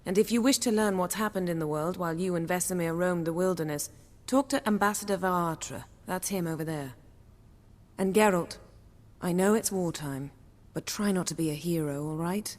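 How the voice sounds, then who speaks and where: Game sorceress, woman actor: lowish pitch, poised and formal, dryly affectionate, recorded with hall reverb. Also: a little mellow, natural voice. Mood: concerned. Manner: measured, formal, cool. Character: poised, commanding sorceress, dryly affectionate toward her lover. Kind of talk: dramatic video game acting.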